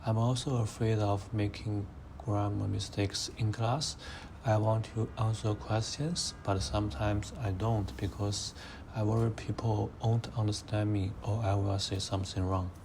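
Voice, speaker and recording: An eighteen-year-old man from China with a Mandarin accent, answering interview questions in a measured, hesitant way. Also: on the low side, level tone, noisy background. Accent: Mandarin Chinese. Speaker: interviewee, high school student.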